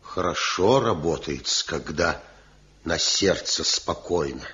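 An actor playing a mild village man gently urging mercy in a 1970s radio play. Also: rumbling low, animated, slow, full character voice. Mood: gentle. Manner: mild, admonishing, persuasive. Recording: dull lo-fi old radio recording.

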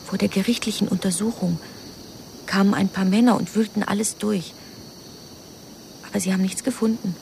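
A woman's voice: mid-range voice, fairly even intonation, moderate pace, vocal fry, long pauses, noisy background, natural voice. An actress playing a resentful young woman confiding secrets hesitantly in a radio crime drama. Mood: confiding, bitter.

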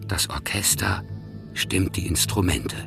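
Very low-voiced male radio play narrator. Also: measured pace, gravelly, loud music behind the voice. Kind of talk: scripted literary narration.

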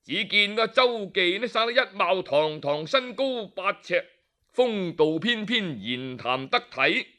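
A man with a Hong Kong Cantonese accent telling a traditional story, animated and dramatic, with interjections and pauses. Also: high voice.

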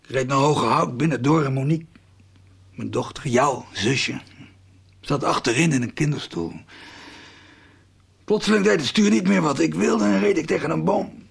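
An actor playing a sick, bitter old man in a radio soap, speaking with a husky, taunting, drawn-out delivery. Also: on the high side, heavily acted. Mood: grave, confessing. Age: older. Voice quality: gravelly, husky. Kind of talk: 1990s radio soap acting.

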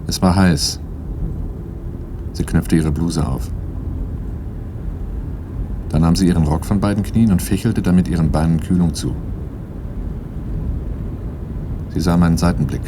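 An actor playing a wary handyman and driver, narrating his own story in a measured, matter-of-fact, introspective way for a 1980s radio play. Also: deep voice, noisy background, lightly acted. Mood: observant. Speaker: first-person narrator.

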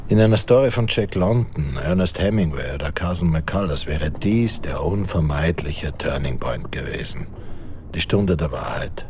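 An actor playing a grave, literary narrator of a war story, telling it with measured emphasis in a radio drama. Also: male voice, very deep voice, lively intonation. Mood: grave, knowing. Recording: clear noise behind the narration.

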